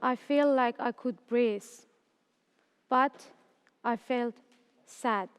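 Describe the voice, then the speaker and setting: Late-teen woman from Afghanistan and Iran, student storyteller, smooth voice, slow careful delivery. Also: fairly high pitch, big-room sound. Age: late teens. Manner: slow, careful, earnest reading. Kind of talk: reading a personal story.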